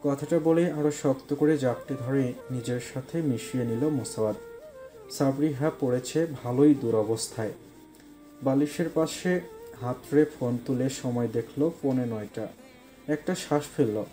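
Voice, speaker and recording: Male narrator for romantic audio fiction: warm, mellow, mid-pitched storytelling over soft music. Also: moderate pace.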